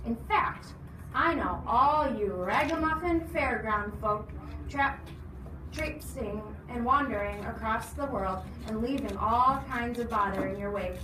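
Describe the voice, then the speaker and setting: A woman's voice: a little high, a little slow, lightly acted. A woman voicing a menacing, sneering policeman who talks down to people with threats. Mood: threatening. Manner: threatening, condescending. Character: menacing policeman, sneering, softly bullying a young boy. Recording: noisy echoey room, distant mic.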